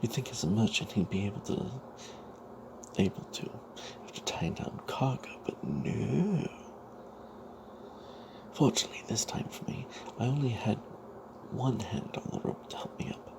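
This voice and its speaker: A man narrating a first-person fantasy story as ASMR in a breathy whisper, hushed and halting, with wry asides. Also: on the low side. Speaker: storyteller narrating own tabletop character. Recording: noticeable background noise.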